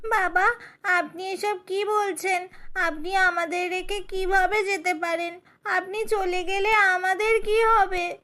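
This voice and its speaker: A very high, bright little girl voiced by a woman in a children's cartoon. She pleads slowly and tearfully, her voice full of crying. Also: steady tone, full character voice. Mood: pleading, tearful.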